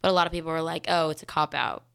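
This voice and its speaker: A young woman co-hosting a radio show in relaxed, easygoing chat. Her voice sits fairly low for a woman and often drops into vocal fry. She talks freely and fills her sentences with "like". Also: rapid-fire. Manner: chatty and laughing easily, heavy on "like".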